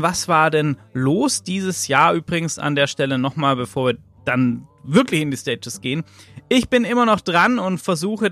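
A man's voice: on the high side, fairly bright tone, no echo. A man hosting a podcast on his own, talking casually and loosely with lots of filler sounds. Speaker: podcast host. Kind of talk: casual solo podcast commentary.

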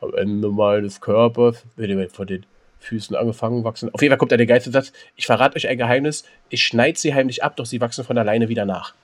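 A man's voice: medium pitch, steady tone, brisk. A man co-hosting a casual podcast, joking around and breaking into sung snippets. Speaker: podcast co-host. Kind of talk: casual chat.

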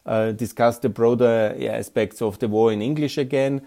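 A man's voice: medium pitch, steady tone, normal speed, dark tone. A man with an Austrian accent, a native German speaker, hosting a solo podcast of political commentary in an earnest tone, with frequent "uh" and "yeah" tags. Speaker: podcast host.

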